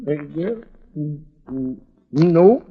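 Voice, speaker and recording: A man's voice: a little high, animated, drawn-out words, slightly rough, heavily acted. An actor playing a fussy, flustered old trolley motorman, hesitant and repetitive, in a 1940s radio drama. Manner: hesitant, fussy, repeating himself. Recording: dull vintage 1940s radio recording.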